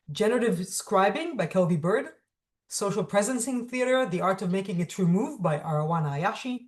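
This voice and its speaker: A woman's voice: mid-range voice, darkish tone. Woman hosting with formal, articulate delivery. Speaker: host. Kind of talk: scripted introduction, interview hosting.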